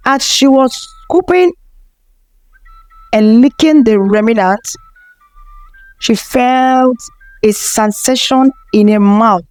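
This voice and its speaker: A woman tells a folktale as a scripted narration, taking it very slowly. Her voice sits fairly high. The recording is very dry and close, with no room sound. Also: a little mellow, clear, resonant tone, no echo, soft background music. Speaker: storyteller.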